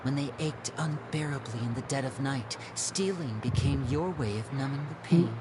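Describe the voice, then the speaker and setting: A suave, composed young magician. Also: female voice, a bass voice, noisy background. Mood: solemn, probing. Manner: polite, measured, theatrical. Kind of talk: dramatic scripted video game voice acting.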